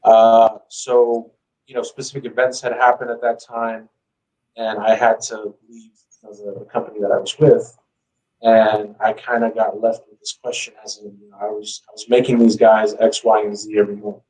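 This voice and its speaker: Man, low voice, interview guest, casual storytelling, conversational filler phrases, sounds far from the microphone. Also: little pitch movement, normal speed, dark tone. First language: English. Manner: informal, peppers talk with "you know".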